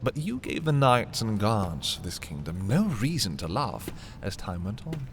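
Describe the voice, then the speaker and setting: An actor playing a haughty, menacing prince taunting a captive thief in a dark fantasy audio roleplay, theatrical and condescending with dramatic pauses. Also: male voice, fairly low pitch, very animated, background noise. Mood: mocking admiration.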